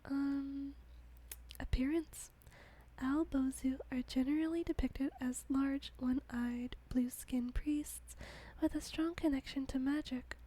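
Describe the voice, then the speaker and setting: A woman reads aloud in a slow, hushed whisper, the way ASMR videos are done. Her tone is soft and mellow, and she drops in little giggles now and then. Her pitch sits fairly high even while she whispers. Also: poor audio. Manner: slow, gentle reading. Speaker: ASMR host.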